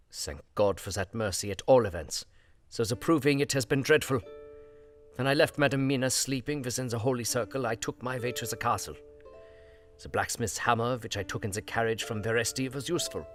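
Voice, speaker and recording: An actor playing an earnest, God-fearing aging vampire hunter, narrating his diary solemnly in halting old-fashioned English. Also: medium pitch, natural voice. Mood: grave, resolute. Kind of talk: dramatic diary narration in an audio drama. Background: guitar music.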